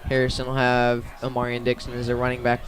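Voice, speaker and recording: A man's voice: medium pitch, completely flat delivery, fast talker, noisy background. A man doing live radio play-by-play of a football game outdoors, in short clipped phrases. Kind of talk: live radio sports commentary.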